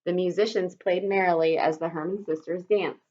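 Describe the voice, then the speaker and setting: A woman's voice: lowish voice, steady tone, normal speed, a little mellow. A woman reading a children's story aloud expressively, like a teacher, in slightly dull audio.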